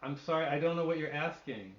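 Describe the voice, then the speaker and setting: A man's voice: fairly high pitch, fast. A middle-aged man, a translator, answering questions on a video call in a thoughtful way, often restarting as he searches for words. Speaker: literary translator and poet. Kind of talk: answering questions at an online reading. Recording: echoey, dull lo-fi call audio.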